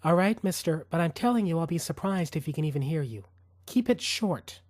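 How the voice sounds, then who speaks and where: A man's voice: on the high side, lively intonation, fairly quick, a little mellow, no echo, clean studio sound. A man voicing a weary, irritated woman in an audiobook, speaking in a curt, blunt, exasperated manner. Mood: reluctant. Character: weary, irritated woman guarding her elderly father. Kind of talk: audiobook character dialogue.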